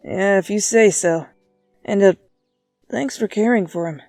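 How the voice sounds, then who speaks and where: A woman's voice: medium pitch, measured pace, mellow, dry recording, faint music behind, close to an everyday voice. A woman voicing a good-natured, loyal, teasing teenage boy in a dramatic fanfiction reading. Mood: earnest.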